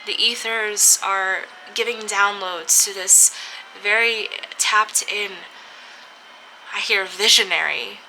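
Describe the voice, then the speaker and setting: A woman reading tarot cards for her viewers, speaking slowly in a dreamy, unhurried way with soft pauses. Her voice sounds a little stuffy from a cold. A steady hum of background noise sits under the recording. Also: medium pitch, poor audio. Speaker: tarot reader. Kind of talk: spiritual tarot reading for camera.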